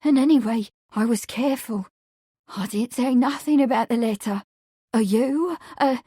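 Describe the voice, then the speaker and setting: A woman's voice: mid-range voice, fast talker, darkish tone, husky, dry recording, clean studio sound, lightly acted. A woman voicing a timid young housemaid in an audiobook, tearful and desperate, breathless and imploring. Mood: tearful, defensive.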